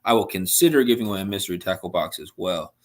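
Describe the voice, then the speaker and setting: A man's voice: sits low, lively intonation, fast talker. A young man hosting a casual fishing livestream, chatting and answering viewers' messages, his voice a little worn from talking. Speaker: livestream host.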